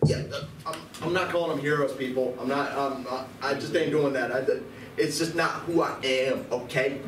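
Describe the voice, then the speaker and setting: A man's voice: medium pitch, moderate pace. A man speaking in a stammering, self-repeating way in a live comic performance. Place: convention event room. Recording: echoey distant live room, loud noise.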